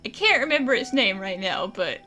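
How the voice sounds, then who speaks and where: A woman's voice: medium pitch, big pitch swings, brisk, very noisy. A woman giving casual, chatty and wry commentary as she plays through a video game. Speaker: gaming streamer. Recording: faint game music behind.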